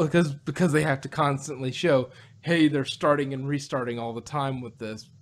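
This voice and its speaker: A man casually discussing an audio drama as a review host, in a halting, rambling way. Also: mid-range voice.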